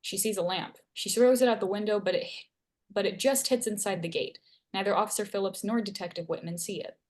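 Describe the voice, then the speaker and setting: A woman's voice: medium pitch, fairly quick, a little mellow. A woman reading scene headings and stage directions aloud as narrator at a screenplay table read.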